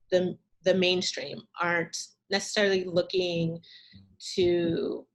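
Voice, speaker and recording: A woman curator answering questions in a talk, thoughtful and measured, pausing often over a video call. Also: fairly low pitch, a bit bright, low-quality recording. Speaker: arts curator, guest speaker.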